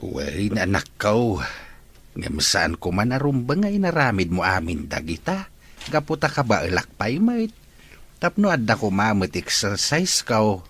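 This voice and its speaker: A man playing a gentle elderly father with a husky voice and Filipino accent in an audio drama. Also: fairly low pitch, big pitch swings, unhurried, heavily acted. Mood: affectionate, reassuring. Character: gentle elderly father, grateful to his children.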